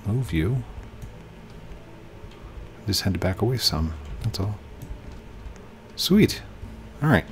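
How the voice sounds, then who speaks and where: Man with a low voice, chatting to game pieces. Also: very animated, slow, long pauses, slight background noise. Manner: chatty, talks to game objects, hums while working. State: slightly losing his voice. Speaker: gaming channel host. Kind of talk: casual let's play commentary. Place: home room, indoors. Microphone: headset.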